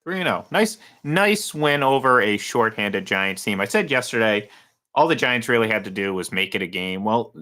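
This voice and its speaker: A man's voice: fairly low pitch, bright, forceful tone. A man in his thirties co-hosting a casual sports talk show, rambling with many restarts and fillers. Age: 30s. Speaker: sports radio co-host.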